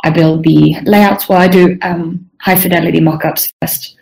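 Low, creaky-voiced young woman. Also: steady tone, moderate pace, a little mellow, poor audio. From Sweden and Southern Africa. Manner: frequent throat clearing. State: strained voice, needing to clear throat. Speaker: UI and UX game designer being interviewed. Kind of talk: informal career interview, explaining own work.

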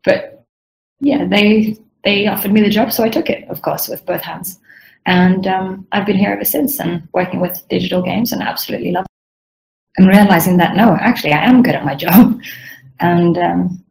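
A woman's voice: medium pitch, moderate pace, darkish tone, poor audio. A young woman from Sweden and Southern Africa with a slightly strained throat, explaining her work as a game designer in an informal interview. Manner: frequent throat clearing. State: strained voice, needing to clear throat.

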